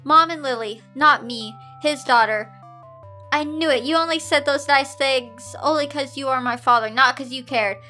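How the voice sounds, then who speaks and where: A woman's voice: sits high, normal speed, sharp tone, soft background music. A woman voicing a hurt, defiant schoolgirl daughter in a bitter, downcast way in a fan fiction reading.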